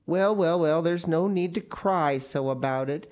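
A woman voicing a stern, practical middle-aged spinster who speaks briskly and dryly. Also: deep voice, a little mellow, voice pushed up. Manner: brisk, no-nonsense, dry.

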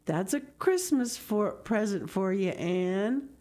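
A kindly old storybook farmer, read by a woman. He speaks slowly and shyly. He hesitates and repeats himself gently. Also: mid-range voice, huge pitch swings, voice pushed up, exaggerated voice. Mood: shy, worried.

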